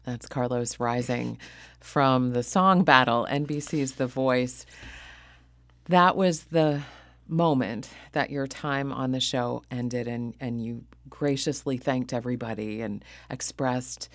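A radio host at a mid-range pitch who walks listeners through the background and asks questions in a measured way. The mic is close and the sound is dry, with no room. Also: fairly bright tone, no echo. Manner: measured, explains things for listeners. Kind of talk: radio interview.

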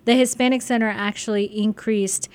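A middle-aged woman guest from Sri Lanka talks through her community work. She is earnest and enthusiastic, and her voice sits at an ordinary middle pitch. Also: fairly even intonation, normal speed. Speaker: physician and volunteer guest. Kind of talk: studio interview answers.

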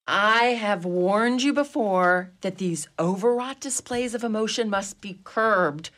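A woman's voice: mid-range voice, a little slow, fairly bright tone, natural voice. A woman playing a dignified, imperious wealthy mistress of an old mansion in a comedy radio play, with a grand, formal, theatrical way of talking. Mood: scolding, imperious.

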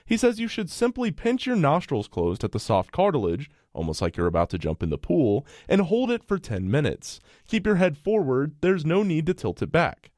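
A man's voice: a little high, huge pitch swings, moderate pace, few pauses, darkish tone, no room sound. Public-radio reporter, male, bouncy intonation, dry close studio read. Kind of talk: scripted news narration.

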